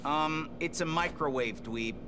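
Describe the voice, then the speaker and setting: A man's voice: fairly high pitch, measured pace, noisy background, close to an everyday voice. A man voicing a hot-headed teenage turtle brawler in a video game, wisecracking in impatient street slang. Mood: irritated, sarcastic. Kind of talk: video game cutscene acting.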